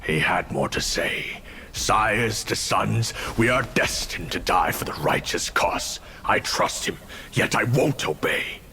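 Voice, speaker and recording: A deep, vengeful dragon spirit. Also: male voice, lively intonation, vocal fry, sharp tone, heavily acted. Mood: grave. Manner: solemn, heavy slow delivery. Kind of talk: video game cutscene dialogue. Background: music.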